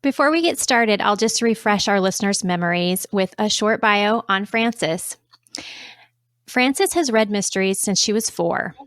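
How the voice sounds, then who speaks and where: A woman's voice: medium pitch, lively intonation, unhurried, fairly bright tone, dry recording. Dry-sounding woman co-hosting a podcast. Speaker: podcast co-host. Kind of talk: podcast hosting, prepared introduction.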